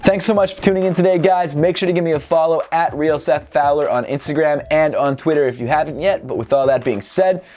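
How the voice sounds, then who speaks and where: A man in his twenties, slightly hoarse from a cold, chatting upbeat and straight to camera while unboxing clothes on a clip-on mic indoors. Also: a little high, a little fast, hardly any pauses, a little mellow, soft background music. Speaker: sneaker and streetwear vlogger. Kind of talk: casual unboxing vlog. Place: indoor room with sneaker shelves. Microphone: clip-on lapel mic.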